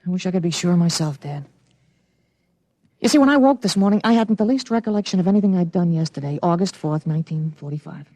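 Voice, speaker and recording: High-voiced, precocious young boy. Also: animated, quick pace, dark tone, dry recording. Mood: grave, recounting. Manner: articulate, matter-of-fact, grown-up vocabulary. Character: precocious, self-assured thirteen-year-old boy with an adult mind. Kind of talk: old-time radio drama acting.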